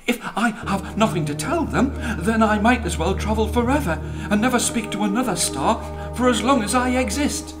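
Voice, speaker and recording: Man voicing a high-pitched, nervous comet. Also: lively intonation, normal speed, hardly any pauses, music in the background. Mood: anxious. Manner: anxious, self-coaxing, pleading. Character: timid, nervous young comet, boastful, eager to be heard. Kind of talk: storybook character voice.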